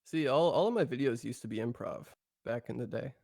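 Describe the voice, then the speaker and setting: A man's voice: medium pitch, brisk, gravelly, a little mellow, no room sound, studio quality. A man from Minnesota with a mild Minnesotan accent, co-hosting a casual chat in a laid-back, self-deprecating way. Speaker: podcast co-host.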